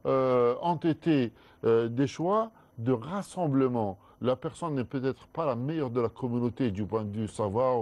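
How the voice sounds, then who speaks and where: A man, a guest scholar in a studio discussion, explaining at length in a measured way with frequent restarts. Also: medium pitch, darkish tone, no room sound.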